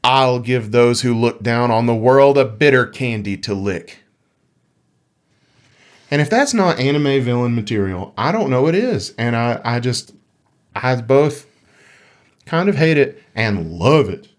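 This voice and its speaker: A man with a mid-pitched voice talks as a podcast co-host. His delivery is casual and conversational, with a joking, playful streak and some dramatic emphasis on read-out lines. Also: expressive, a little slow. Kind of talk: casual conversational podcast chat about gaming.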